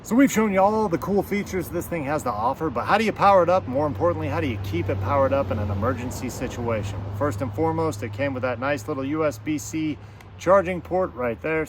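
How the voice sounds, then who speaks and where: A man's voice: medium pitch, fast, slight background noise. A man giving a casual product review to camera as host, with a folksy, slangy way of talking and playful catchphrases.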